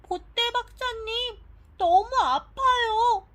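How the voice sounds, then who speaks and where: A woman's voice: very high voice, extremely slow, sharp tone, pitched above the performer's own voice, full character voice. A woman voicing a sick, feeble little child with a plaintive manner in a storybook. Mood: suffering, tearful.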